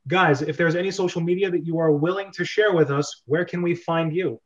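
A man's voice: fairly high pitch, steady tone, brisk, muffled recording. A man hosting a casual group chat over a video call. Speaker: podcast host.

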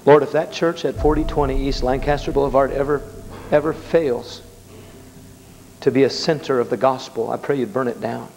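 Man, fervent prayer, gravelly voice, hall reverb. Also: medium pitch, fairly even intonation, normal speed, darkish tone, slight background noise. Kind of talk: public prayer in a church service.

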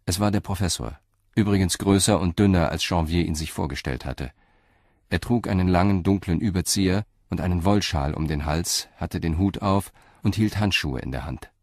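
Man, narrator, very low voice, measured, dry studio sound. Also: dry recording. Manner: measured, calm storytelling. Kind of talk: scripted audio drama narration.

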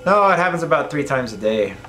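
An actor in an amateur film playing a male voodoo shaman with an American accent who talks deadpan and keeps making jokes. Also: a little high, quick pace, slight background noise. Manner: deadpan, keeps joking "I kid".

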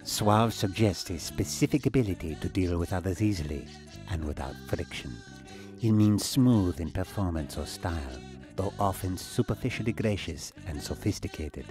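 A man voicing a smug, suave cartoon seducer, unhurried, silky and persuasive. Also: sits low, expressive, over music. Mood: smug seduction. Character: smooth, self-satisfied suave seducer in a robe.

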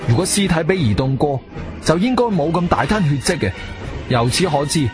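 A man's voice: fairly high pitch, expressive, brisk, close to an everyday voice. An actor with a Guangzhou Cantonese accent playing an inquisitive, self-assured investigator who tells his own story in a theatrical radio drama style. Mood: reflective narration. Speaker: narrator and lead actor. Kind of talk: Cantonese radio drama, first-person narration and dialogue. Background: music.

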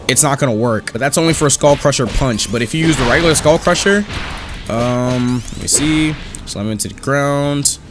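A young man giving casual, enthusiastic gameplay commentary in an informal way, his voice slightly worn from being sick. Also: medium pitch. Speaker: gaming YouTuber. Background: music under the voice.

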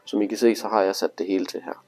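A man's voice: fairly low pitch, flat delivery, darkish tone, soft background music. A man giving a casual, hands-on tutorial, explaining things informally step by step. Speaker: instructor demonstrating motorcycle display.

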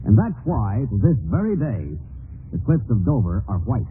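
A man's voice: mid-range voice, very animated, moderate pace, loud music behind the voice. A man narrating scripted stories as a 1940s radio storyteller. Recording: tinny old radio recording.

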